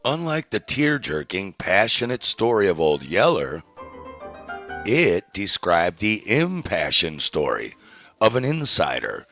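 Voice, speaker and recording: A man's voice: low-pitched, wildly swooping pitch, slow, fairly bright tone, soft background music. A man narrating a documentary in a scripted, wry and sardonic way, with dry asides.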